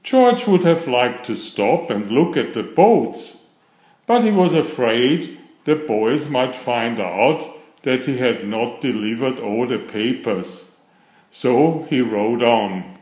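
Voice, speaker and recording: A man reading a children's story aloud in a deliberate, expressive storytelling manner, with a roomy sound. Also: mid-range voice. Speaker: storybook narrator. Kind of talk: children's read-aloud narration.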